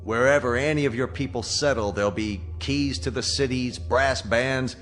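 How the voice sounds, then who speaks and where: An actor playing a reasonable military colonel in a radio drama, polite and probing in a measured way. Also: male voice, mid-range voice, steady tone, a bit bright, soft background music, natural voice. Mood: genial. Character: courteous, reasonable military colonel commanding a rescue spaceship. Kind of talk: radio drama acting, conversational.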